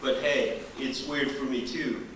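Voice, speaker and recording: A man from Georgia with a Georgian accent, a non-native English speaker doing a deliberate, self-deprecating stand-up comedy routine. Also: medium pitch, fairly even intonation. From Georgia (the country). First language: not English. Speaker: stand-up comedian, teaching assistant. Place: live stage performance in university room. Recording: echoey room, distant mic, audience noise.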